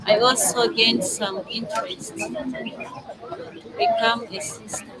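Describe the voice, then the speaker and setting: A young Zambian woman, a newly professed religious sister, speaking softly and slowly in an outdoor interview. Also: on the high side, rough recording. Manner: slow, soft-spoken, measured. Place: outdoors at a celebration. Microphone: masked, speaking softly. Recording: outdoor live stream with loud crowd behind.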